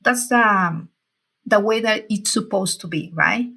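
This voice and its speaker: Bright-voiced Peruvian woman in her forties. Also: mid-range voice, gravelly, slightly rough. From Peru. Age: 40s. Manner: halting, searching for words, many restarts. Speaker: guest. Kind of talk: casual interview.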